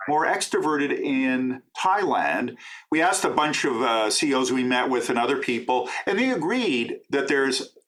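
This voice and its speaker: A man's voice: medium pitch, very animated, a bit bright, low-quality recording. Talkative middle-aged man explaining eagerly. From Canada. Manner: talkative, enthusiastic, quick asides. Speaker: professor and author. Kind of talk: interview answers.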